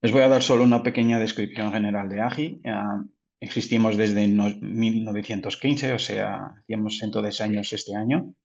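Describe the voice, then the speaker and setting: Brief: low Portuguese-accented man, careful webinar presenter, muffled lo-fi audio. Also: steady tone, moderate pace, gravelly, a little mellow. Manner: careful, with frequent restarts. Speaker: product manager presenting. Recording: dull lo-fi audio.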